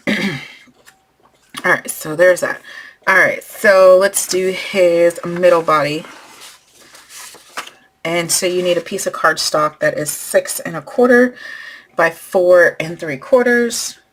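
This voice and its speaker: A woman hosting a craft tutorial, losing her voice and clearing her throat as she talks through each step while working. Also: on the low side, slow, pauses often. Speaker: crafting channel host.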